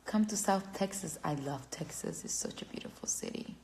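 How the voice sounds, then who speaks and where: A woman from Cuba with a Cuban Spanish accent, chatting casually on a phone livestream, halting and gushing as she answers fans. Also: on the low side, a little mellow, in a whisper. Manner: halting, searching for words, gushing.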